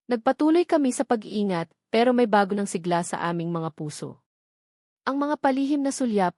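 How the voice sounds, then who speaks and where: A woman's voice: medium pitch, darkish tone, no room sound. Filipino woman narrating romance drama, dry sound. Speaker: narrator of audio love stories. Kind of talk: scripted first-person romance drama narration.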